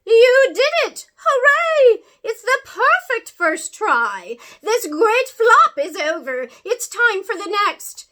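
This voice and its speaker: Woman putting on an old great-aunt, elderly, jubilant, high shrill voice, loud and bright. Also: sing-song, takes it slowly, few pauses, full character voice. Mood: joyful. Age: older. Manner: exuberant, cheering, high and loud. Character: excitable old great-great-aunt, a former plane builder.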